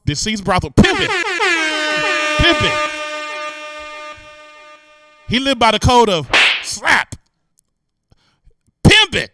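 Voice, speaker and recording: Bright, higher-pitched man hosting. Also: dry recording. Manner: animated, incredulous, joking, slangy delivery. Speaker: host. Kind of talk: comic commentary on news stories.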